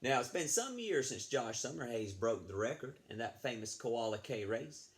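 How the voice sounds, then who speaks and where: A man with a mid-pitched, creaky voice reads a children's story aloud with plenty of expression. He talks directly to his young listeners like a school librarian, slipping in chatty questions as he goes. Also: big pitch swings, normal speed. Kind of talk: expressive picture-book read-aloud with asides to children.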